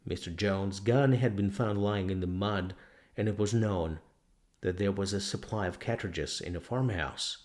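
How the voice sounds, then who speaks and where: A man's voice: sits low, normal speed, darkish tone. A man reading a novel aloud as scripted dramatic narration. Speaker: audiobook narrator.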